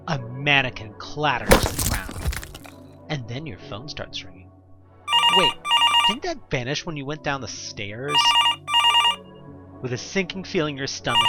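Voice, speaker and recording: A man's voice: medium pitch, dull audio. A man narrating an audio drama as a scripted second-person story, with theatrical, emphatic storytelling.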